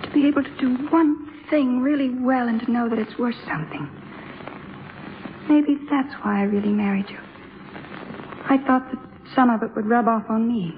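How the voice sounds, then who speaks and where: An actress playing a bitter, brittle young wife in a 1950s radio drama. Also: female voice, a little high, steady tone, normal speed, a little hiss or hum, lightly acted. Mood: wounded, jealous. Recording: muffled vintage radio broadcast.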